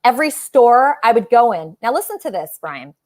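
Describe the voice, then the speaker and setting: A woman's voice: a little high, sing-song, normal speed. A woman hosting a casual livestream talk show, chatting with callers in a gushing, emphatic way.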